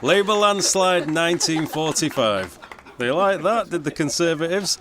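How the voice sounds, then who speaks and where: An expressive, plain-spoken 1940s playwright. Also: male voice, fairly high pitch, normal speed, slight background noise. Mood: wry. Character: passionate working-class playwright and folk singer, 1940s Britain. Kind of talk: audio drama acting.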